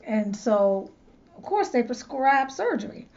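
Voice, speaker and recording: A woman's voice: on the high side, sing-song, a little slow, darkish tone, highs cut off. A woman host telling a personal story in a chatty, earnest way, repeating words as she searches for them. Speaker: loc stylist and channel host. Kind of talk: casual storytelling to camera.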